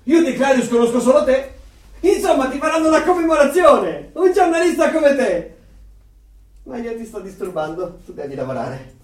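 An actor playing a chatty, nosy, excitable neighbour in a radio play, gushing in a sing-song way. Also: male voice, very high voice, moderate pace. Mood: chatty, excited. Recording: distant, echoey room.

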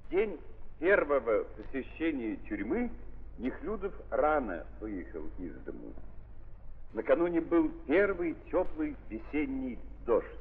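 A man's voice: medium pitch, breathy edge. Theatrical man narrating, tinny old recording, sweeping intonation. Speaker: narrator of a stage-based radio play. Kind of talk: 1930s theatrical literary narration. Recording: scratchy, tinny 1930s archive recording with hiss.

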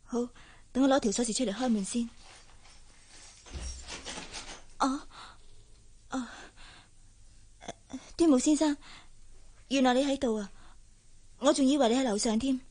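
Young hong kong cantonese housemaid for radio drama, high soft voice, heartbroken but proud. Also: female voice, moderate pace, long pauses. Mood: polite.

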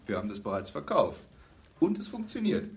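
A man's voice: medium pitch, huge pitch swings, a little fast, slightly rough, heavily acted. An actor playing a glib, self-assured advertising man who explains matter-of-factly and asks rhetorical questions in a radio play. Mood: eager, calculating. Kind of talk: German radio play, conversational monologue acting.

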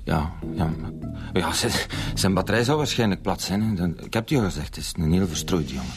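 Flustered Flemish father, deep voice. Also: lively intonation, fairly quick, music in the background. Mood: defensive, evasive. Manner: hesitant, stammering, repeats himself. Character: flustered, defensive middle-aged father covering for his son.

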